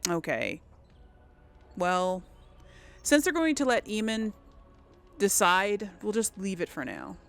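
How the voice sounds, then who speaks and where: Creaky-voiced woman doing lively gaming commentary. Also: medium pitch, takes it slowly, pauses often, faint music behind. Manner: thinking aloud, often trailing into mutters. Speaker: gaming video host. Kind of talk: casual let's play commentary.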